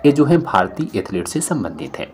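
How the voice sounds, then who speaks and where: A man's voice: mid-range voice, very animated, moderate pace, darkish tone. Man, mid-range voice, instructive exam review, repetitive for emphasis, slightly noisy recording. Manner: repeats each question and answer for emphasis. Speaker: exam-preparation teacher. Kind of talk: explanatory exam review, question by question. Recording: noticeable background noise.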